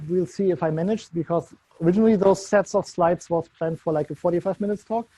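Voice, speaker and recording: A man's voice: high-pitched, brisk, warm tone, no echo, rough recording. German-accented man giving a tech talk. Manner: loose, searching delivery with frequent ums and restarts. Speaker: conference speaker. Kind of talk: tech meetup presentation.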